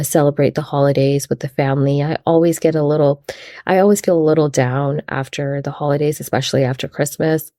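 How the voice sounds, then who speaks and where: Young woman host, congested and stuffy, friendly chatty delivery, low mellow tone. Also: no room sound, clean studio sound. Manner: friendly, open, with fillers. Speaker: podcast host. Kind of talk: casual solo podcast talk.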